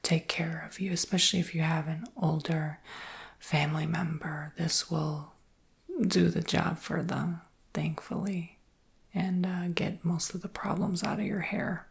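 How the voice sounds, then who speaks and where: An American man whispering an ASMR roleplay as a salesperson, softly and reassuringly explaining a product without hurry, in a breathy, husky whisper. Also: a little high, steady tone. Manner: soft, unhurried, reassuring explaining.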